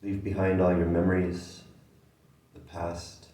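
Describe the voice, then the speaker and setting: A man leading a guided meditation as a teacher, speaking gently in sparse phrases with long pauses. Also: low voice, completely flat delivery. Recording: echoey room, distant microphone.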